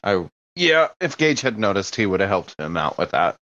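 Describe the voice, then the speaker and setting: A man chats as a player in a tabletop roleplaying game. His voice sits fairly low, and the recording is a little dull and lo-fi. His delivery is casual and improvised. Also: sing-song, moderate pace, fairly bright tone.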